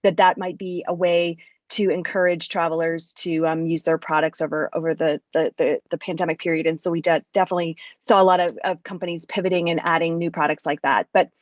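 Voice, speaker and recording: A woman with a fairly low voice talks business as a panel guest. Her delivery is conversational. The audio is dry and a bit dull, like a lo-fi call. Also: flat delivery, a little fast, no echo. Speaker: panel guest, venture investor.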